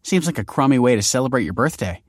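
A man's voice: mid-range voice, lively intonation, quick pace, husky, dry recording, clean studio sound, pitched above the performer's own voice. A man voicing a breezy, sceptical young woman in an audiobook, light and offhand. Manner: light, offhand, faintly judgmental. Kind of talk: audiobook character voice.

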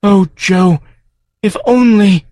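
A man's voice: high-pitched, slow pace, warm tone, no echo, studio quality, pitched above the performer's own voice. A man voicing a huge many-legged alien farm creature, grief-stricken and theatrical, wailing in a florid, dramatic way. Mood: mournful.

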